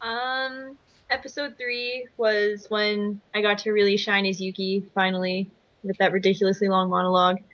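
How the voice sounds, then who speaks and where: A woman's voice: medium pitch, level tone, moderate pace, a bit bright, no echo. A young woman giving a casual review as an amateur voice actor over a lo-fi mic. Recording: dull lo-fi voice-chat mic.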